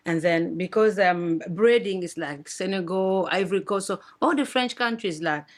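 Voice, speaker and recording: Lively woman from DR Congo, a braider. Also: on the low side, normal speed. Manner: animated, laughs often. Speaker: hair braider. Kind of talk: interview.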